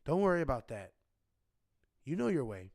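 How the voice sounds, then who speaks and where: A man's voice: medium pitch, big pitch swings, moderate pace, vocal fry, a little mellow, dry recording, clean studio sound. An actor playing a knowing inventor and mentor in a radio drama, patient and assured as he instructs. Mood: instructing. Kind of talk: scripted radio drama dialogue.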